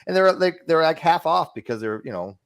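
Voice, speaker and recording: A man's voice: fairly high pitch, animated, brisk. A man hosting a casual livestream, rambling and blunt, swearing freely as he talks. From California. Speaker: streamer host.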